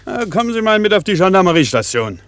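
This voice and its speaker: An actor playing a brusque, suspicious gendarme in a German radio play, barking and officious. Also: male voice, high-pitched, sing-song, fast talker, a bit bright, dry recording, heavily acted. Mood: stern, suspicious. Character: brusque, suspicious country gendarme challenging a traveller.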